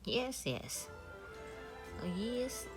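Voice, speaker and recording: A woman's voice: a little high, big pitch swings, drawn-out words, over music. A woman game streamer giving casual live reactions in brief muttered exclamations.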